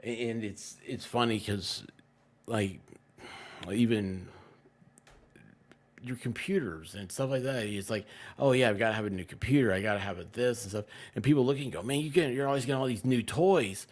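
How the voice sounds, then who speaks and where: A man's voice: mid-range voice, normal speed. A man chatting casually on a livestream, rambling along and often trailing off mid-sentence. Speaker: online content creator and streamer.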